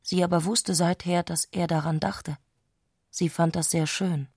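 Eine Frau spricht in einem literarischen Hörspiel die introspektive Erzählerin, die mit bedachten Pausen die Gedanken einer aufgewühlten Frau schildert. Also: female voice, fairly low pitch, fairly even intonation, a little mellow, breathy edge, no echo, clean studio sound. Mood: introspective, hushed. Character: intimate, introspective female narrator of a troubled woman's thoughts. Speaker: narrator in a literary radio play. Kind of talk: literary radio drama narration.

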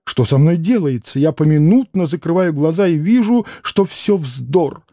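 A man voicing a fussy, excitable middle-aged noble mother in a classic novel audiobook, gushing with theatrical exclamations. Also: a little high, normal speed, no room sound, studio quality, pitched above the performer's own voice. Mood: distraught.

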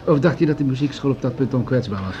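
An actor playing a pragmatic, patronizing municipal official in a radio drama, speaking in measured, formal, argumentative sentences. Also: male voice, mid-range voice, animated, warm tone, highs cut off, faint music behind, lightly acted. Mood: condescending, firm. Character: pragmatic, patronizing male municipal official pushing budget cuts. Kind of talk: Dutch radio drama acting.

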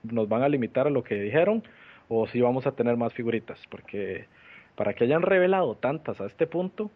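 A man from Costa Rica hosting a casual podcast chat, relaxed and thinking aloud with restarts, heard over an online call. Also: mid-range voice, fairly quick. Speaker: podcast host. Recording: tinny phone-like call audio.

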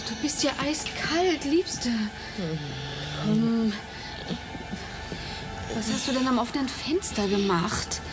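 A woman's voice: on the high side, expressive, takes it slowly, long pauses, music in the background. An actress playing an anxious, caring young woman in a radio horror drama, earnest and solicitous. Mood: worried, tender.

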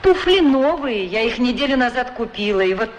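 A woman's voice: fairly high pitch, animated, a little fast, lightly acted. Radio play: modest, polite cleaning woman, played by an actress, middle-aged, bashful yet talkative, echoing hall-like sound with light background noise. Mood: shy, polite. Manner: slightly embarrassed, polite, chatty. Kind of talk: radio play acting, conversational. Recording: reverberant radio drama recording, background noise.

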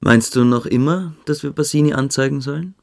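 A man playing a calculating, cruel young military-school cadet in a German radio drama, speaking with deliberate, coolly superior menace. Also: mid-range voice, vocal fry, close to an everyday voice. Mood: quietly menacing.

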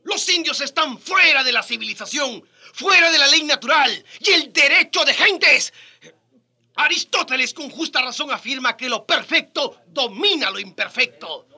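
A man's voice: very high voice, lively intonation, moderate pace, bright, forceful tone, exaggerated voice. A man playing a haughty, pompous Spanish scholar declaiming in a radio drama debate. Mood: indignant, haughty. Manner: pompous, declamatory, rhetorical questioning. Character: haughty Spanish scholar debating against equality of American Indians.

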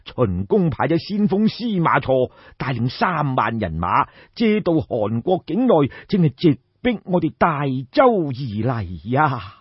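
A man voicing a grave, shrewd old royal minister in an audiobook, giving formal, deferential counsel in measured, deliberate phrases. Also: mid-range voice, big pitch swings, slightly rough, no room sound, lo-fi sound.

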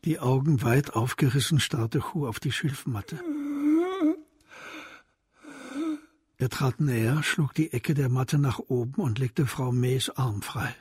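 A man narrating a radio crime drama as a sober storyteller, in a scripted literary style with a very husky voice. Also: medium pitch, a little slow, few pauses, darkish tone, no echo, clean studio sound. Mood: hushed, tender. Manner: sober, descriptive.

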